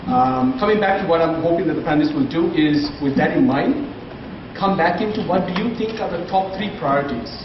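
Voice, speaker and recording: A man moderating a conference panel, briskly framing questions with frequent tag questions. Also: fairly high pitch, animated. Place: conference room. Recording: dull, roomy, distant, noisy.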